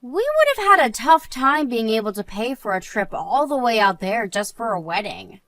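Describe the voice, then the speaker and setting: A woman's voice: a little high, big pitch swings, normal speed, fairly bright tone, no room sound. A woman playing an earnest married woman and loyal friend in a scripted story drama. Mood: calm, chatty.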